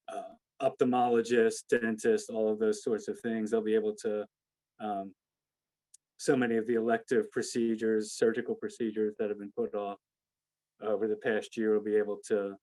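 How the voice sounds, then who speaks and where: A man hosting an investment conference call, speaking off the cuff in a halting, hedging, conversational way. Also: lowish voice, darkish tone, rough recording. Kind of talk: unscripted conference call remarks.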